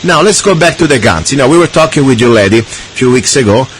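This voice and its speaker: A man's voice: medium pitch, animated, fast talker, a little hiss or hum, poor audio. A man hosting a radio talk show, chatting casually with many restarts and fillers.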